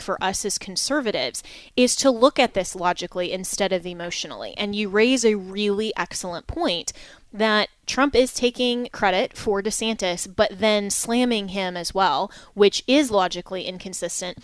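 A woman hosting a talk radio interview, talking in a measured, reasoned way with frequent restarts. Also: medium pitch, clear, resonant tone, no room sound. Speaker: host.

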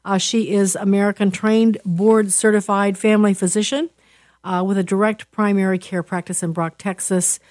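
Woman, interview host, chatty, restarts a lot, mid pitch, dry sound. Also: little pitch movement, moderate pace, darkish tone, no echo. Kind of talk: talk-show interview.

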